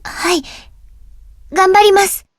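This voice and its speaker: A woman's voice: extremely high pitch, fairly even intonation, moderate pace, dry recording, clean studio sound. A woman voicing a shy, earnest teenage schoolgirl in a Japanese visual novel. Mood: determined.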